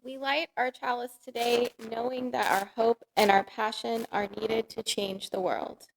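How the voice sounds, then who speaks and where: A woman's voice: fairly high pitch, little pitch movement, unhurried, vocal fry, low-quality recording. A woman giving a scripted reading as a member of a church congregation.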